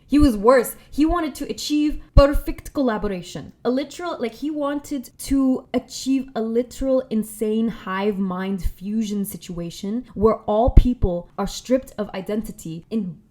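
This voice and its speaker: A young woman talking through a casual commentary video essay in a chatty, slangy way with animated asides. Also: mid-range voice.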